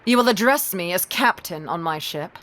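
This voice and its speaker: A woman's voice: lowish voice, expressive, moderate pace, fairly bright tone. A woman playing a stern, cold ship's captain in an audio drama, curt and imperious. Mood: curt, commanding.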